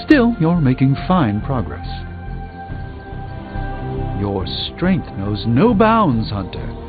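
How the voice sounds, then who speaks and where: An actor playing a learned, dryly witty sorcerer superhero in a video game, speaking formally and theatrically. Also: male voice, mid-range voice, huge pitch swings, takes it slowly. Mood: thoughtful, praising. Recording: dull game audio under music.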